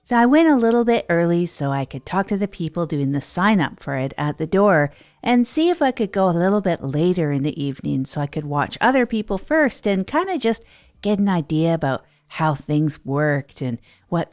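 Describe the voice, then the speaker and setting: A woman's voice: lowish voice, lively intonation, normal speed, darkish tone, natural voice. A woman playing a hapless amateur comedian, telling stories in a rambling, earnest, self-deprecating way for an audio drama. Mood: rueful, confiding. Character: Tog, hapless adult storyteller and failed stand-up comedian. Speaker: narrator and radio host. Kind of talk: improvised comic monologue in an audio drama.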